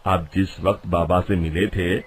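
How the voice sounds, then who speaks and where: A man's voice: sits low, level tone, measured pace, a little hiss or hum. A man voicing a shrewd, educated young village man in a cartoon moral story. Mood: reasoning. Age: young adult.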